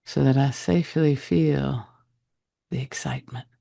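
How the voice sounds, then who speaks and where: A man leading a guided meditation in a soft, breathy, husky voice, speaking deliberately in short phrases with long pauses. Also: mid-range voice, steady tone. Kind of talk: guided meditation, slow soothing narration.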